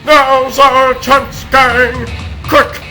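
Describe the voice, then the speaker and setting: High-pitched, plucky cartoon hero. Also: male voice, level tone, very slow, bright, forceful tone, faint music behind, full character voice. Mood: urgent, commanding. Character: bright, bossy heroic male rabbit superhero leader. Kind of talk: comic book dub cartoon acting.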